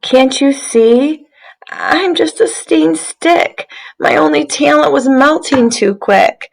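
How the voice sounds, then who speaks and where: Woman as a glum, slow, self-doubting storybook stick. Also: high-pitched, creaky, voice pushed up, heavily acted, low-quality recording. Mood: dejected. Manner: plaintive, drawn-out words. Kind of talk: children's read-aloud character voice.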